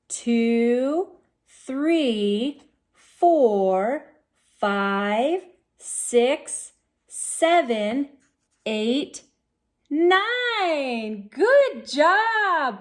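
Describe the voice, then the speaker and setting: A woman reading a storybook aloud to young children, expressive and sing-song, speaking directly to her listeners. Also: high voice.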